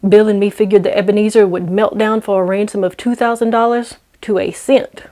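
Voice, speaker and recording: A woman's voice: medium pitch, fairly even intonation, fairly quick, mellow. A woman storyteller narrating in a dramatic, scripted read-aloud style.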